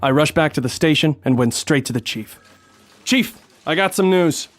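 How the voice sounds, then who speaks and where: A man playing a determined, hard-boiled police detective in a noir radio drama, narrating and speaking in a terse, deadpan way. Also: on the high side, normal speed, dry recording, close to an everyday voice. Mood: urgent. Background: music.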